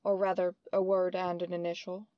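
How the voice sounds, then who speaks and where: An actress playing a determined young female detective in an earnest, crisp old-time radio drama style. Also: fairly low pitch, level tone, a little fast, muffled recording, lightly acted. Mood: earnest, respectful.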